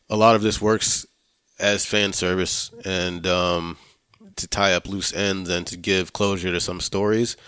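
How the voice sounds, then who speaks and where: Male podcast host, very deep voice, close dry sound, hesitant and conversational, loose with swearing. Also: smooth, no room sound. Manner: halting, searching for words, casual swearing. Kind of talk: casual film review discussion.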